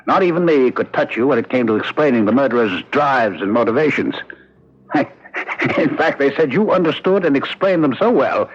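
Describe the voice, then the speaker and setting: Refined ex-professor turned killer, radio drama. Sweeping, dramatic delivery, husky tone, emphatic and polished. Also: male voice, mid-range voice. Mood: genial. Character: cultured, talkative condemned murderer, former parapsychology professor. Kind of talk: 1970s radio drama acting. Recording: dull lo-fi old radio broadcast.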